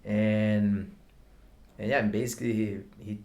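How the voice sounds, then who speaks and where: A man's voice: fairly low pitch, little pitch movement, takes it slowly, darkish tone. A man from Brazil with a Brazilian accent, a jiu-jitsu coach chatting casually on a podcast, dropping "man" and "you know" often. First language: Portuguese.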